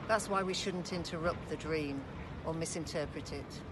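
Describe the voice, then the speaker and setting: A man reciting a poem, recorded with clear background noise. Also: fairly high pitch, normal speed. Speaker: poetry reader. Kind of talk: poetry recitation.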